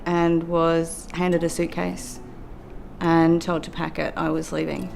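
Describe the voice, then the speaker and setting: A middle-aged Australian woman telling her own story in an earnest, reflective, steady way. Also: deep voice, takes it slowly, creaky. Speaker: youth worker and law graduate. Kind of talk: personal testimony for a documentary. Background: soft music.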